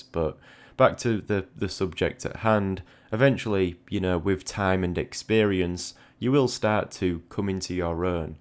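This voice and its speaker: A man with a notably deep voice talks through advice in a conversational monologue. He sounds reflective, often doubling back on a word as he works out his thought, like a channel host thinking aloud. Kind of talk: reflective spoken monologue giving art advice.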